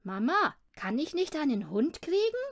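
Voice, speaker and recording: Storybook little boy, played by a woman: high, excitable, stubborn, emphatic, longing and eager. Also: moderate pace. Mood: eager, excited.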